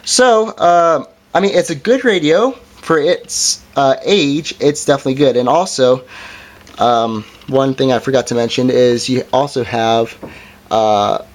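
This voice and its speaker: A man's voice: medium pitch, measured pace. A man giving a casual hands-on product review as its presenter, in an informal, hesitant and somewhat apologetic way.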